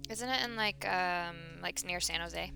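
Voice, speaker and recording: A woman's voice: on the low side, unhurried, creaky, pushed and bright. A young woman co-hosting a casual podcast from home. She answers in short, easygoing replies and giggles often. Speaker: podcast co-host. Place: at home, remote call.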